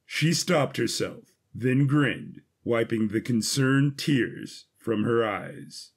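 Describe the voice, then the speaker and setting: A man with a husky voice giving a scripted, dramatic narration of an adventure story. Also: medium pitch, expressive, slow.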